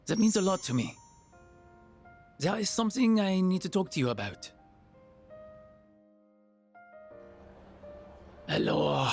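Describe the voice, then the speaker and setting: A man playing a polite, earnest French exchange student in a video game, with a French accent and careful formal phrasing. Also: a little high. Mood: hesitant, downcast.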